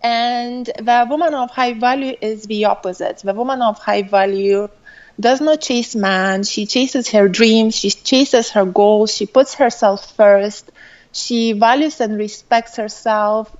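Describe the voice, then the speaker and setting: A woman with a Lithuanian accent touched by Irish and British speech gives conversational answers as a coach. She takes her time, at a slow pace. The sound is dull and lo-fi, like a remote call. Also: on the high side, fairly even intonation, a little mellow. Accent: mixed Lithuanian, with Irish and British influence. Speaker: guest, relationship coach.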